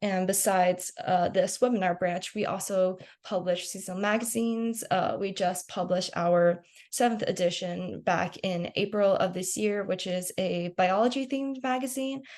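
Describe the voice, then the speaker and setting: A woman's voice: medium pitch, a little slow, hardly any pauses, darkish tone. Young woman hosting a student webinar. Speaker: webinar host.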